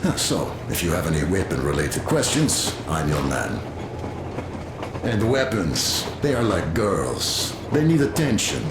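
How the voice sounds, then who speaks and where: Loyal, fussy armourer, earnest and low-voiced, roomy train-car sound, game drama. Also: male voice, very animated, a little slow, exaggerated voice. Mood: chatty, proud. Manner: earnest, chatty, fussy about gear. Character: loyal, meticulous male soldier and weapons tinkerer. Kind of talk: dramatic video game voice acting. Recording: roomy, clear train noise behind.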